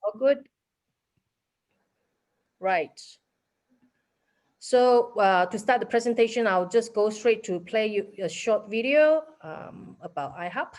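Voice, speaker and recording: A woman from Malaysia with a Malaysian accent presenting at an online meeting, quick and full of fillers. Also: on the high side, expressive, darkish tone. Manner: fast, with many fillers. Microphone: video call. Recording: dull lo-fi call audio.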